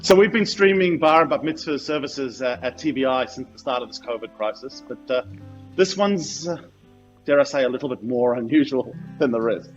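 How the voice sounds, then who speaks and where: Man, rabbi, medium pitch, hesitant conversational delivery, dull lo-fi link, soft guitar behind. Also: expressive, normal speed. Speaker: senior rabbi, show guest. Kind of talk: conversational radio guest. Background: light guitar music.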